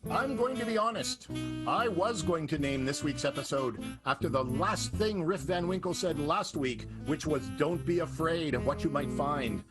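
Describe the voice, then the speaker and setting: A man's voice: fairly high pitch, expressive, normal speed, poor audio. A man narrating and hosting an improvised radio soap in a wry, announcer-like way. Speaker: narrator and host of improv show. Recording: loud guitar music under the voice.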